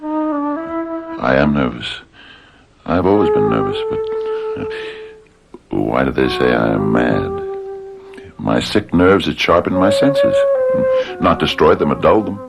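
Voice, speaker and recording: An actor playing a nervous, unhinged middle-aged husband in a radio drama, halting and agitated, often repeating himself. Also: male voice, rumbling low, wildly swooping pitch, creaky, loud music behind the voice, heavily acted. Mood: agitated, insistent.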